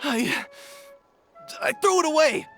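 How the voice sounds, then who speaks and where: An actor, a man, playing a panicked, pleading petty thief with a creaky voice in a video game. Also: animated, slow pace, sharp tone, exaggerated voice. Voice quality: high, creaky. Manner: pleading, desperate. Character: panicked, whining male petty thief begging for mercy. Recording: music under the voice.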